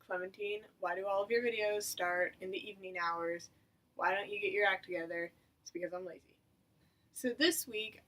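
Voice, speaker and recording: A woman's voice: medium pitch, bright, forceful tone, recorded from a distance, rough recording. A young woman of about eighteen from the United States, talking casually to her camera as a vlogger in a chatty, rambling, self-mocking way. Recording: voice a little away from the mic.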